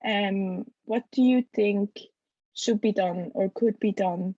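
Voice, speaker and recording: A woman's voice: medium pitch, slow pace, dark tone, no room sound, lo-fi sound. A woman interviewing a guest, asking friendly but hesitant questions with frequent fillers.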